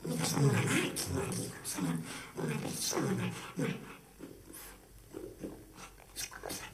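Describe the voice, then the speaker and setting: Simple-minded smitten suitor, wildly swooping pitch, hesitant repetitions, half-sung stage delivery, echoey. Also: male voice, wildly swooping pitch, gravelly, very noisy, exaggerated voice. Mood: meek, hesitant. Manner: halting, repetitive, hesitant, childlike. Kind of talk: musical stage monologue, half-sung theatre acting. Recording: echoey, distant stage voice over loud music.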